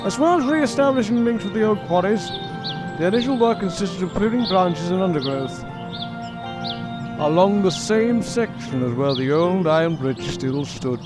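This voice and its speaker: A man with a slightly high voice tells a story in scripted audio drama narration. Music plays clearly behind him as he reads. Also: animated, moderate pace. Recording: music under the voice.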